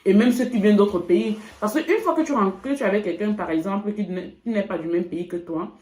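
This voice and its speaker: A woman's voice: mid-range voice, warm tone. Woman in an echoey room. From Cameroon. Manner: reflective, repeats "franchement", frequent pauses. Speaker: vlogger. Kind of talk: casual couple chat.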